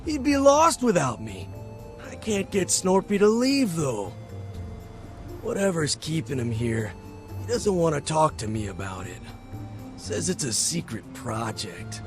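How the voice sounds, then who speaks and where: A man's voice: fairly high pitch, very animated, measured pace, over music. A man voicing a laid-back, big-hearted creature who talks like a slangy surfer bro in a video game. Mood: chill.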